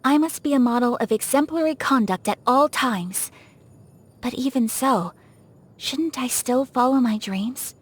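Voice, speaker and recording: A woman's voice: sits high, a little slow, breathy edge. A woman voicing a refined, reserved young noblewoman of a samurai clan in a video game, speaking formally and politely with poise. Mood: reserved, wistful. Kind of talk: scripted game character voice lines.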